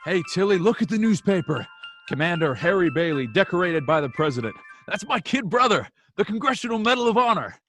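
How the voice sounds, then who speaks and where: A man's voice: sits high, big pitch swings, brisk, a bit bright, faint music behind, full character voice. A man playing an earnest, hot-tempered young small-town man in a radio drama, emphatic and impassioned. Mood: excited. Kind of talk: old-time radio drama acting.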